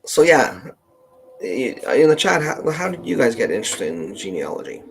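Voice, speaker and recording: A man with a Canadian accent hosting a casual livestream chat, meandering and thinking aloud in a halting way. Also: mid-range voice, big pitch swings, vocal fry, slight background noise. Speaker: livestream host, genealogist.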